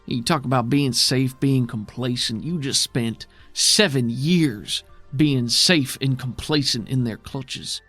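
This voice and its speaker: Tabletop RPG role, acted by a man: worried, bitter city loyalist, plainspoken and gruff, mid pitch, music bed. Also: slow, a bit bright, no echo. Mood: angry, accusing. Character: bitter, worried, steady man guarding his underground city.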